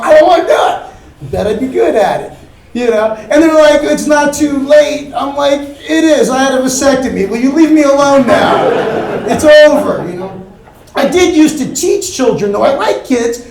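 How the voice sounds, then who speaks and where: A young man from Miami doing a stand-up set on a comedy club stage, with a deadpan, offhand delivery and quick asides. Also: very high voice, creaky. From Miami, Florida. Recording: roomy club with crowd laughter. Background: audience laughter.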